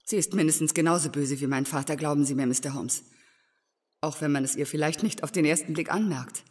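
An actress playing a bitter, resolute young heiress, speaking earnestly in a German audio drama. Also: female voice, deep voice, brisk, darkish tone, slightly rough. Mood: aggrieved, recounting. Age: young adult. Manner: earnest, embittered storytelling.

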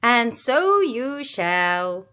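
A woman voicing an enormous hairy spider godmother in a grand, booming voice for a storybook reading. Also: big pitch swings, extremely slow, pushed and bright, exaggerated voice.

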